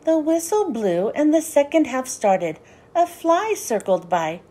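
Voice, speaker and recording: A woman reading a children's storybook aloud in an animated, sing-song way with deliberate phrasing. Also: sits high. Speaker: children's book read-aloud host. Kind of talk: expressive storybook narration for young children.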